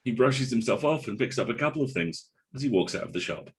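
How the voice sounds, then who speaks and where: A man with a husky voice reading stage directions and literary narration from a script. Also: mid-range voice, animated, fast.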